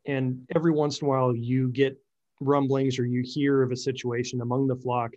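A man's voice: mid-range voice, little pitch movement, fairly quick, mellow. A man hosting a podcast and speaking as a pastor, reflecting aloud with some restarts. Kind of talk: conversational podcast interview. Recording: dull, muffled sound.

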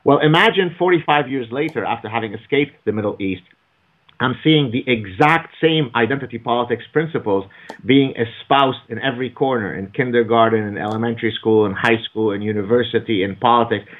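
A man's voice: mid-range voice, brisk. A man speaking as an author and guest in an interview, emphatic and argumentative, lecturing at length. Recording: phone-like narrow audio.

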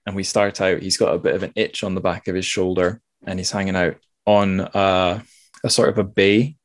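A man with a low-pitched voice hosts a casual book discussion. His phrasing is informal and often doubles back on itself. The sound is dry and close, with very little room. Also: level tone, normal speed, dry recording. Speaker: podcast host.

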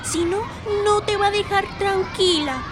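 A performer playing a cautious eight-year-old girl in a radio drama, giving advice in a hushed, careful way. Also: extremely high pitch, unhurried. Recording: crowd noise behind.

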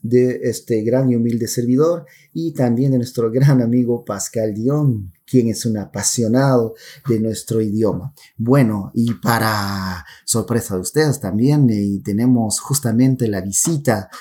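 A man from Peru with a Peruvian Amazonian accent, hosting a podcast for Spanish learners in a welcoming, measured way. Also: mid-range voice, mellow, husky. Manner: welcoming, measured, with some pauses and fillers. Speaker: podcast host.

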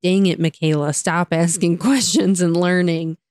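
A young woman with a somewhat low voice chats and giggles her way through a casual conversation. Her voice is a touch raspy from a long day of talking. The recording is dry and close. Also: steady tone, normal speed, a little mellow, dry recording. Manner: giggly, chatty, laughs often. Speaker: podcast co-host. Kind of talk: casual chat.

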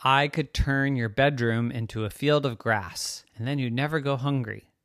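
A storyteller of unclear sex, likely a man, voicing an eager, boastful fairy cow with drawn-out moos and booming exclamations. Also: fairly bright tone, dry recording, studio quality, close to an everyday voice. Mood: helpful, defensive.

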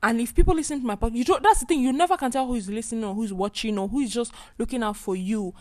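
A woman's voice: a little high, rapid-fire, mellow. Chatty woman hosting a solo podcast. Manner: chatty, repeats herself, asks "do you understand?". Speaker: podcast host. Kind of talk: casual solo monologue.

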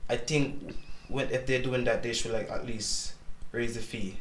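A Jamaican man, a dancehall dancer, talking casually in an interview with a relaxed, patois-inflected way of speaking. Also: medium pitch, measured pace, reverberant, slight background noise.

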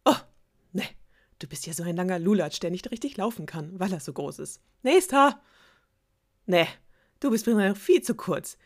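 A woman voicing an arrogant young princess who mocks her suitors in a shrill, snappy way. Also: animated, moderate pace, darkish tone, husky. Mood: mocking.

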